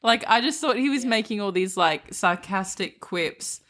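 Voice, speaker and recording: A woman podcast co-host chatting casually about books in a blunt, slangy, expressive way, with some swearing. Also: medium pitch, normal speed. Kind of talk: casual book chat.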